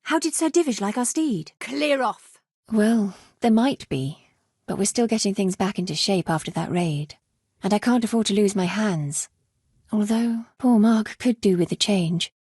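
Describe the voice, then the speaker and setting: Earnest widowed stud-farm mistress, close and dry. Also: female voice, mid-range voice, measured pace, darkish tone, slightly rough, no room sound. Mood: angry. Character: grieving, wary widow running a medieval stud farm. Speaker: video game character. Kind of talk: dramatic video game dialogue acting.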